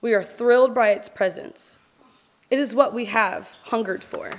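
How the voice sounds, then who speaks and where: Woman doing a solemn church poetry reading, kinda high voice, really slow, expressive with long pauses. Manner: measured, expressive recitation with deliberate pauses. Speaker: reader at a church service.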